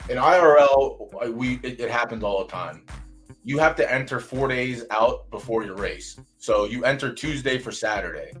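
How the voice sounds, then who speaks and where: A man in a mid-range voice hosts a loose podcast chat from his streaming setup. He talks bluntly and swears freely, venting in a fired-up way. Soft music plays faintly underneath. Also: mid-range voice. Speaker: host.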